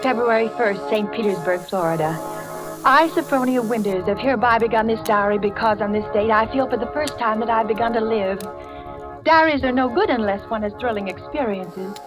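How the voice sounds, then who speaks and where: A woman's voice: fairly high pitch, lightly acted. An actress playing a shy, romantic spinster in her forties, breathless and girlish, in a 1940s radio suspense drama. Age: 40s. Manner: breathless, girlish, fluttery, hesitant. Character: shy, gushing, romantic spinster of forty writing her diary. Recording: hissy old radio recording with music.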